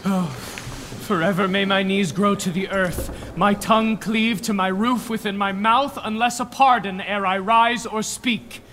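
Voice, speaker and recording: A guilty young nobleman begging pardon in a radio Shakespeare play. His voice is high and bright, earnest and suppliant, with a long hall reverb around it. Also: steady tone, unhurried, a little hiss or hum. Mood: anxious, pleading. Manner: earnest, suppliant verse delivery. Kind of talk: Shakespearean radio drama acting.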